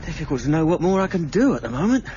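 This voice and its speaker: An earnest, deferential male police sergeant in a radio crime drama. His voice sits a little high for a man, tentative and plain-spoken but persistent. The sound is slightly dull with a faint layer of noise. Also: very animated, very fast, mellow. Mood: concerned.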